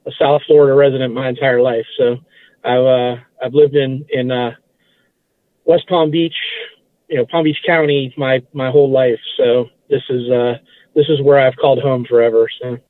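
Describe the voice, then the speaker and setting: A man's voice: medium pitch, flat delivery, moderate pace, dry recording. A man from Massachusetts, a guest telling stories in a casual interview, hesitant, with many restarts. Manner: hesitant, lots of fillers and restarts. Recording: tinny phone line.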